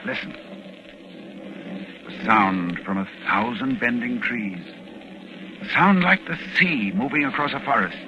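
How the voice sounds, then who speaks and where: An actor playing a grave, anxious scholarly doctor battling a monster, urgent and dramatic in 1940s radio style. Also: male voice, mid-range voice, animated, a little slow, pauses often, highs cut off, noisy background, natural voice. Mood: grave foreboding. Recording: vintage 1940s radio recording with music.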